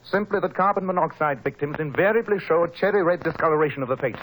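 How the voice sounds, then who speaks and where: An actor in a 1940s radio drama playing a shrewd, composed detective with a precise, authoritative way of speaking, on a crackly recording. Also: male voice, a little high, quick pace, fairly bright tone. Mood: grave, incisive. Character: shrewd, commanding male detective, composed and incisive.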